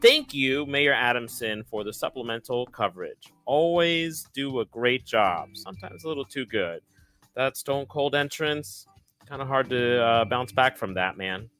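A man's voice: medium pitch, expressive, a little slow, sharp tone, soft background music. A young man hosting a podcast fact-check segment, delivering it in an emphatic, sassy way. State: recovering from lost voice. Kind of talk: scripted segment read, lively.

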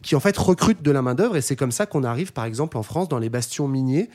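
A man's voice: medium pitch, expressive, darkish tone. A man co-hosting a casual discussion podcast, explaining at length with frequent fillers and restarts. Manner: quick, with many fillers and restarts.

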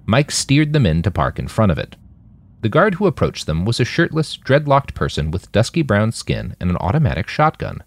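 A man's voice: fairly low pitch, big pitch swings, moderate pace, no room sound. A man narrating scripted fiction as an audiobook-style story. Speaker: author and narrator.

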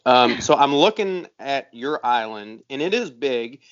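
A man's voice: mid-range voice, lively intonation, unhurried, a bit bright, clear, resonant tone. A man co-hosting a podcast, joking and ribbing his fellow host. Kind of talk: casual podcast banter. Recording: slightly muffled audio.

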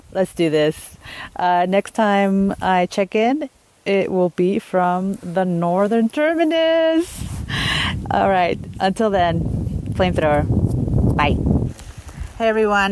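A woman thru-hiker recording a reflective video diary outdoors, talking in a halting way with many fillers and restarts. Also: lowish voice. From Los Angeles, USA. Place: outdoors on a long-distance trail.

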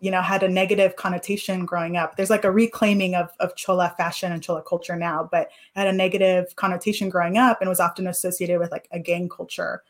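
Low-voiced woman in her thirties, reflective. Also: level tone, fast, few pauses. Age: 30s. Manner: thoughtful, filler-heavy with many "like"s. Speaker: guest. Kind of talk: reflective interview talk.

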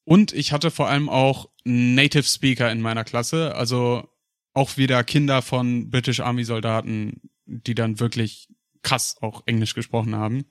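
A man's voice: medium pitch, little pitch movement, moderate pace, no echo, clean studio sound. A young German man co-hosting a podcast, telling rambling personal stories in casual chat, with fillers and frequent laughs. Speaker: podcast co-host.

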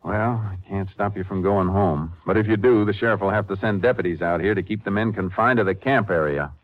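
An actor playing a calm, authoritative Texas Ranger in an old-time western radio drama, measured and firm. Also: male voice, on the low side, natural voice. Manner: measured, firm, matter-of-fact. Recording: muffled, noisy 1950s radio recording.

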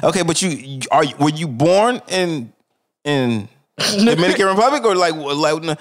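Young male podcast co-host, lively swinging pitch, profane and conversational. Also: normal speed. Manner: animated, profane, repeats "you feel me". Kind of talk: casual chat.

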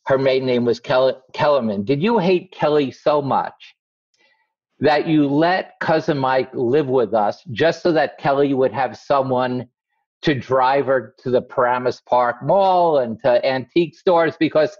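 A man's voice: mid-range voice, a little slow, a little mellow, no room sound. A man being interviewed about his book, telling blunt, wry stories from his family life and acting out what people said. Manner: wry, blunt, acts out quoted lines. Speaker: guest author. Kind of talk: candid conversational storytelling. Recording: slightly muffled dry recording.

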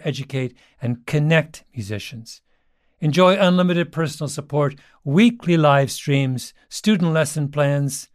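A man's voice: medium pitch, no echo, clean studio sound. A man reading scripted advertisements as an announcer. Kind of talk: scripted ad read.

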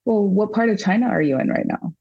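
A woman's voice: mid-range voice, lively intonation, fast, vocal fry, breathy edge, no room sound. Woman guest, talks loosely with lots of ums, muffled lo-fi sound, dry. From Japan. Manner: chatty, lots of fillers and restarts. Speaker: guest artist. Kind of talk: casual conversation.

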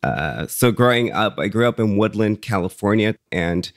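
Male interview guest from Mexico, low voice, soft-spoken and sincere, dry close sound. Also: lively intonation, normal speed, no room sound. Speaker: interview guest, mental health advocate. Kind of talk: reflective interview answers.

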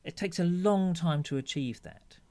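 A man's voice: on the high side, animated, moderate pace, dark tone. A man co-hosting a podcast in casual chat, thoughtful and hesitant as he searches for the right words, with a dry self-mocking humor. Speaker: podcast co-host.

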